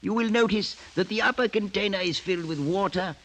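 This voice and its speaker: An actor playing a cruel, scheming older aristocratic uncle in a mid-century radio drama, speaking with mock courtesy and theatrical relish. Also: male voice, high-pitched, brisk, a bit bright. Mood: smug relish. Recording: noisy vintage radio recording.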